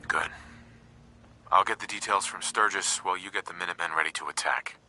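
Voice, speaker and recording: A man's voice: deep voice, fairly even intonation, fairly quick, pushed and bright. An actor voicing a grim male wasteland commander in a game who gives terse, decisive orders.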